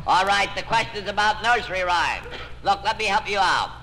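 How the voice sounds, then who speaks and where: A man's voice: high voice, animated, moderate pace, bright, forceful tone, exaggerated voice. A man playing a sarcastic, long-suffering quizmaster in an old-time radio comedy quiz, sardonic and exasperated. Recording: noisy old radio broadcast, echoey hall.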